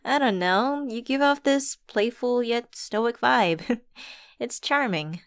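A woman's voice: on the high side, slow pace, no room sound, clean studio sound. A woman playing a flustered, friendly stranded driver in an ASMR audio roleplay, chatty and a little hesitant. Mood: curious, flirty. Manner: hesitant, chatty, expressive with sighs and giggles. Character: flustered, friendly woman locked out of her car at Christmas. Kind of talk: ASMR roleplay acting, one-sided conversation.

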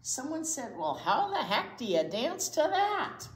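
An older woman reads a picture book to children with asides, expressive and often dropping to a hushed near-whisper. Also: high-pitched, measured pace. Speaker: children's library storytime reader. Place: indoor children's library storytime corner. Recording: roomy echo, faint background noise.

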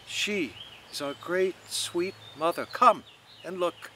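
A man's voice: sits high, takes it slowly, pushed and bright, a little hiss or hum, voice pushed up, exaggerated voice. A man voicing a mocking, theatrical young man who declaims and teases in a sing-song way.

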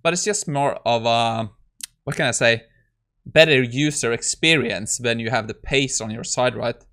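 A man's voice: mid-range voice, moderate pace, bright, forceful tone. A man in his thirties giving a casual coding walkthrough as a tech YouTuber at his desk.

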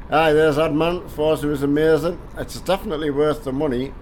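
Older man, hoarse with a cold. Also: on the high side, steady tone, moderate pace, a little mellow, a little hiss or hum. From north of England. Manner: chatty, greets viewers by name. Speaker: game streamer. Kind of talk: casual live-stream chat. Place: home gaming room with driving rig. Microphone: headset.

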